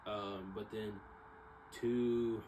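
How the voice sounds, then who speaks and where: A man's voice: lowish voice, flat delivery, very slow, a little mellow. A young man talking casually in a rambling, slangy monologue, often repeating himself and checking in with "right?". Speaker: vlogger. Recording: distant mic, clearly noisy background.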